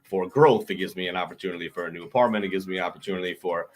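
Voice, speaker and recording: A man's voice: low-pitched, very fast. A man co-hosting a casual podcast chat, opening with hype-man calls and emphatic repeats. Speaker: podcast co-host.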